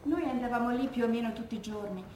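High female voice actress, composed bereaved daughter testifying on stage; measured, careful, roomy sound with noise behind. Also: mellow, natural voice. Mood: solemn, pained. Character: educated daughter recalling her father's wartime death, composed, grieving. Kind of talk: theatrical testimony monologue. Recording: echoey room with background noise.

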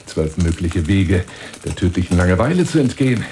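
An actor playing a pompous, lonely hunter seducing a woman in a radio drama monologue, grandiloquent and insinuating, moving between hushed and declaimed phrases. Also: low voice, very animated, a little fast, a little hiss or hum. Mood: pompous, lecturing.

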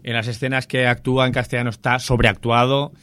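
A man's voice: mid-range voice, little pitch movement, brisk, a bit bright, no room sound. A man chatting casually about a film he enjoyed, talking with enthusiasm and frequent restarts. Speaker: film reviewer on a radio show. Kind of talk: casual chat.

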